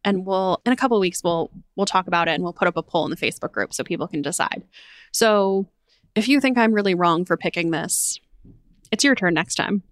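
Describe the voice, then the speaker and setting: A woman hosting a book podcast while traveling, talking eagerly in quick run-on sentences with fillers. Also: on the low side, no room sound, studio quality. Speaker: podcast host. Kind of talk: casual book chat. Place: temporary room while traveling.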